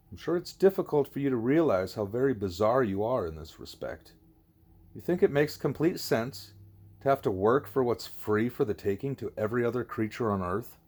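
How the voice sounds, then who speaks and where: A man voicing a wise, patient gorilla teacher in an audiobook, deliberate and didactic, leaning on key words. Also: mid-range voice, a little mellow, natural voice.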